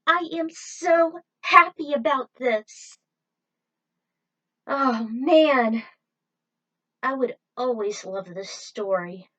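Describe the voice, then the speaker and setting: A woman's voice: medium pitch, fairly bright tone, low-quality recording. A woman speaking as a fan fiction writer and channel host, addressing fans in an earnest, effusive way with author's notes and a sign-off.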